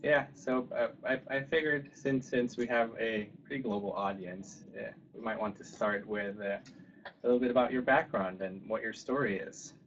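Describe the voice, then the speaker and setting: A man's voice: on the low side, normal speed. A man interviewing casually, forming his questions tentatively and repeating words as he goes. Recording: dull sound with faint background noise.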